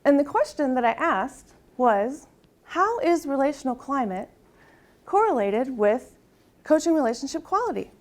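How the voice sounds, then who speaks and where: Soft-spoken woman presenting research. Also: high voice, big pitch swings, moderate pace. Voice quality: soft-spoken, tries to project. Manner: uses frequent rhetorical "right?" tags. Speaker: conference presenter. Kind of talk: academic presentation with audience exercise.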